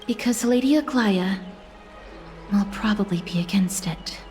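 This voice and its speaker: A woman's voice: medium pitch, unhurried, a little mellow, faint music behind, close to an everyday voice. A woman playing a dutiful, tactful young fighter in a video game, speaking formally and earnestly. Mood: earnest, cautious. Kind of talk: dramatic video game acting.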